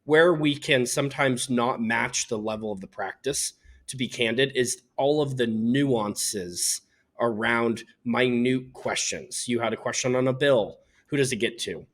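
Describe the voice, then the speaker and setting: A man's voice: medium pitch, a little slow, a bit bright. Candid man explaining his business. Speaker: company founder being interviewed. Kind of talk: explanatory business interview talk.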